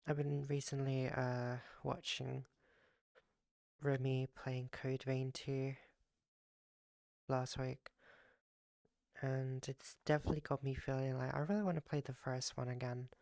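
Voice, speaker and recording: A streamer in casual chat with their viewers, voice raspy and gravelly from the morning. The delivery is flat and groggy, full of fillers and restarts. The sound is dry and close, with no room around it. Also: medium pitch, unhurried, pauses often, no echo. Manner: rambling, with frequent fillers and restarts. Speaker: live streamer.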